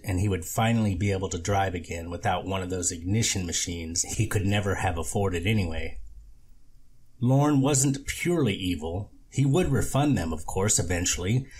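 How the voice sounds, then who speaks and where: A man's voice: on the low side, a little mellow, breathy edge. A man reading a novel aloud as scripted narration, as an amateur audiobook reader.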